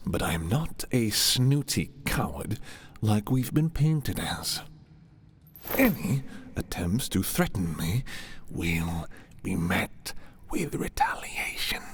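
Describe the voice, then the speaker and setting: Casting: male actor as a dark romantic prince, low-voiced, commanding, possessive, with widely swinging intonation, for fantasy ASMR roleplay. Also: breathy edge, full character voice. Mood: cold, commanding. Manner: slow, deliberate, imperious yet intimate. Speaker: audio roleplay performer.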